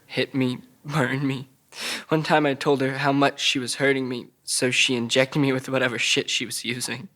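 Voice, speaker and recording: A troubled young man, voiced by a male actor at a drama table read, speaks with a childlike plainness. He stammers and halts as he recounts painful memories, at a middling male pitch. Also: little pitch movement, normal speed, pushed and bright, lightly acted. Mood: haltingly confessional.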